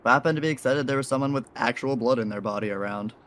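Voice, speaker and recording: An actor playing a kindly, soft-hearted faceless figure in an audio drama, with an easygoing and reassuring way of talking. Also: mid-range voice, quick pace, natural voice. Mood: protective.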